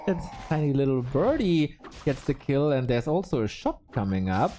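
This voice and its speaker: A man's voice: mid-range voice, moderate pace, slight background noise. A man casting a live esports match, joking and thanking viewers from chat. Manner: jokey, animated, reads out donations. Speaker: esports caster. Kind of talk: live game commentary with chat shout-outs.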